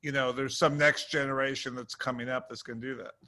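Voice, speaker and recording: A man speaking casually on a panel as a writer, telling stories in an animated way and acting out quoted remarks. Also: mid-range voice, quick pace.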